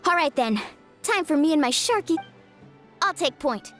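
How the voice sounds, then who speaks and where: A woman's voice: high-pitched, lively intonation, moderate pace, fairly bright tone, faint music behind. A woman voicing an adventurous young surfer girl in a video game, breezy, eager and confident as she leads her team.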